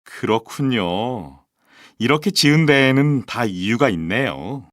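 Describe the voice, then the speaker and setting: A man's voice: mid-range voice, expressive, slow pace, fairly bright tone, no echo, studio quality. A man voicing scripted dialogues and a model presentation for a language textbook, with careful, evenly enunciated reading. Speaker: textbook audio voice actor.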